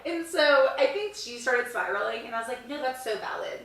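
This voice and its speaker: Young woman, twenties, bouncy animated pitch, bright tone, playful podcast banter, echoey room. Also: far from the mic. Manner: lively, playful and quick to joke. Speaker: podcast co-host. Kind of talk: casual chat.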